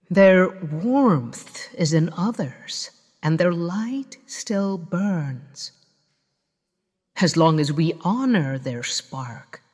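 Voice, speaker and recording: A woman acting as a solemn healer recites a funeral verse in an audio drama. The delivery is extremely slow and reverent, ringing out in a long, hall-like reverb. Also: medium pitch, slightly rough, exaggerated voice. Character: solemn ceremonial healer leading a memorial service.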